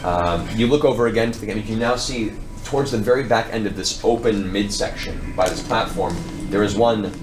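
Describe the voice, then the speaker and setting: Low-voiced man narrating a tabletop fantasy. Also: noisy background. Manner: descriptive, deliberate phrasing with dramatic pauses. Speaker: game master. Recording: roomy, distant mics with music underneath. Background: background music.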